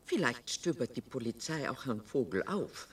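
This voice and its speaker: A woman's voice: extremely low pitch, big pitch swings, normal speed, far from the mic. A woman playing a mild, naive elderly retired teacher in a radio drama, speaking timidly and solicitously. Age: older. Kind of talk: German radio drama acting.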